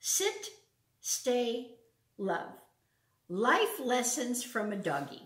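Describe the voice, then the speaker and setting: An older American woman in her sixties or beyond hosting a children's storytime, talking and reading aloud in simple, deliberate phrases for young listeners. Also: a little high, very animated, fairly bright tone. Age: 60s or older. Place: living room at home, indoors. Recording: roomy echo.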